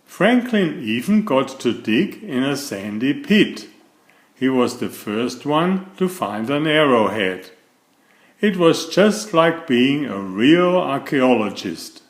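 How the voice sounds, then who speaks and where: A man narrates a picture book for young children in a roomy, echoing space. His delivery is slow and deliberate, with a lively, expressive rise and fall in his pitch.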